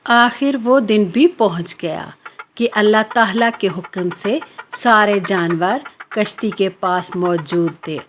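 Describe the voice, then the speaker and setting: Slow-paced woman storyteller over music. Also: mid-range voice. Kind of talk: scripted children's story narration. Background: background music.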